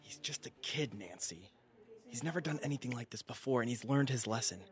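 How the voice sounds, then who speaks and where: A man's voice: mid-range voice, animated, fairly quick, close to an everyday voice. A man playing a protective, anguished middle-aged father with an American accent in an audio drama. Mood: alarmed, pleading. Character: protective, anguished father working at a secretive corporation.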